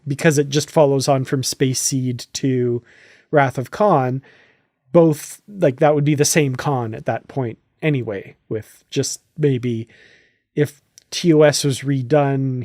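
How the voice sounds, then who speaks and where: A man's voice: mid-range voice, steady tone, unhurried, darkish tone, dry recording, clean studio sound. A man hosting a casual fan podcast discussion, chatty and thinking aloud as he goes. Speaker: podcast host.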